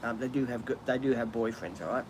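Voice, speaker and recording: A man's voice: medium pitch, fast, slight background noise. An Australian man hosting a casual live stream, chatting in a rambling way with frequent ums and restarts. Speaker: livestream host. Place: outdoors, birds in trees nearby.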